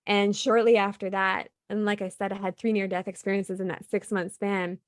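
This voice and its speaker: A woman's voice: medium pitch, level tone, normal speed, dry recording, clean studio sound. A woman from Canada, a guest on an interview show, telling a personal story in an earnest, reflective way with many fillers. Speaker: podcast guest.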